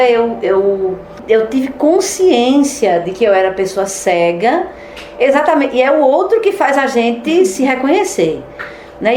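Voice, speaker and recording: Woman administrator, firm testimony, bright-ish pitch, roomy sound. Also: a little hiss or hum. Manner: firm, reminiscing, rhetorical questions. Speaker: institution administrator. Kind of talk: interview testimony.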